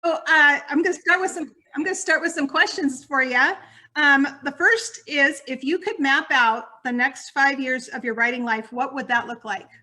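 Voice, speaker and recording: Woman host, high and bright, upbeat reactive interviewer on a video call. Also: moderate pace. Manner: upbeat, frequent "oh" and "very cool" reactions. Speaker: podcast host. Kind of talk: casual interview chat.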